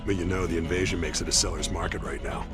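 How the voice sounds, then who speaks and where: Man playing a deep-voiced, sly mercenary broker. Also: steady tone, quick pace, music in the background, close to an everyday voice. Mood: sly, easygoing. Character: sly, laid-back middle-aged mercenary broker. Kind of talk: sci-fi game cutscene acting.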